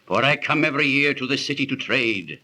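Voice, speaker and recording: An actor playing a shady, suspicious trader of ancient Babylon, speaking slowly in formal archaic radio-drama style. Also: male voice, medium pitch, lively intonation, bright, forceful tone. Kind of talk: 1930s radio acting, archaic speech. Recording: old 1930s radio transcription recording.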